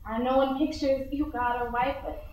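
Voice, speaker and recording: A young teenage girl performing a stage monologue as a grieving, embittered Southern widow in prayer, deliberate and impassioned. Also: high voice, steady tone, a little mellow, pitched above the performer's own voice, close to an everyday voice. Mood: hurt, pleading. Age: early teens. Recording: very echoey hall performance.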